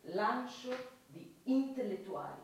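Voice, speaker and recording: A woman's voice: fairly high pitch, animated, slow. An actress playing a zealous, insistent government minister in a satirical radio play, declaiming her points with emphasis. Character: zealous, insistent female government minister pitching absurd schemes. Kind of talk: satirical stage radio drama. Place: large echoey room, recorded from afar. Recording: distant, very echoey hall, faint noise.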